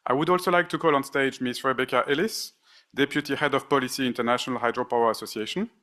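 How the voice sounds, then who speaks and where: A man's voice: mid-range voice, quick pace, bright, forceful tone. Man moderating a conference panel. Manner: reads panelist bios with frequent fillers. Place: conference stage.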